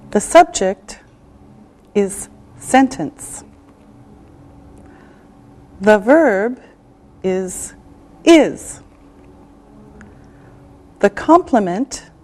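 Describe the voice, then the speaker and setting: A woman teaching an English class, speaking slowly and deliberately with clear enunciation. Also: a little high, a little mellow. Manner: slow and deliberate, drawing out words.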